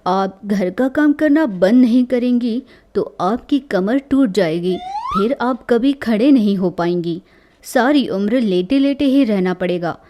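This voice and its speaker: A woman voicing a sly young friend who poses as a doctor, measured and matter-of-fact, in a family comedy story. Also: a little high, lively intonation, warm tone. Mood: serious, warning.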